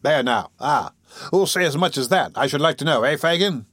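A man's voice: fairly high pitch, lively intonation, fairly quick, no echo, lightly acted. A man voicing a touchy, boastful, dim-witted young thief in a storybook, insistently pressing questions. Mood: angry.

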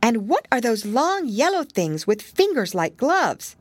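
Curious little girl, put on by a woman, high and bright-toned, dry storybook read. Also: sing-song, measured pace, dry recording. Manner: eager questioning.

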